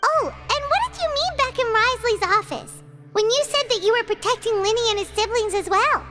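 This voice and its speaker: Squeaky, excitable little fairy companion. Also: female voice, brisk, faint music behind, full character voice. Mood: curious. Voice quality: squeaky, childlike. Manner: speaks of self in third person, exclaiming. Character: small cheerful childlike fairy companion, hungry and excitable. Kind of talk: video game voice acting.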